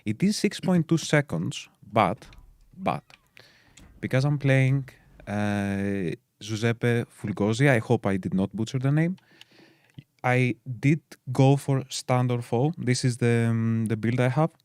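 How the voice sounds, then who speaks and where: A man's voice: medium pitch, slow, a little mellow, clear, resonant tone, no echo, studio quality. A man from Greece with a Greek accent, co-hosting a game stream and chatting casually with lots of fillers as he explains game details. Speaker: stream co-host.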